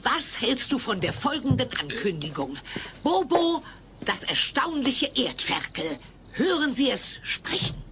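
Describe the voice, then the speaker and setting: An actress playing a brusque, scheming freak-show owner in an audio play, theatrical and bossy. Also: female voice, sits high, big pitch swings, measured pace, exaggerated voice. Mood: greedy, theatrical. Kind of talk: German audio play acting. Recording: slightly noisy old cassette.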